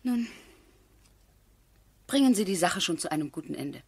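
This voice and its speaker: Lady of the manor: female, slightly low register, polite, composed, wry, 1970s radio drama. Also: lively intonation, normal speed, creaky, slightly rough, exaggerated voice. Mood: businesslike. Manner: composed, polite, gently ironic. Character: cultivated, practical, wry lady of a country estate.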